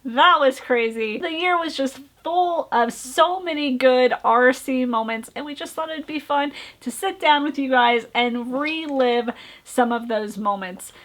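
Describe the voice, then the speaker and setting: A woman's voice: sits high, unhurried, a bit bright. A young woman co-hosting a casual chat, telling stories in a chatty way and laughing often. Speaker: co-host of an RC hobby channel.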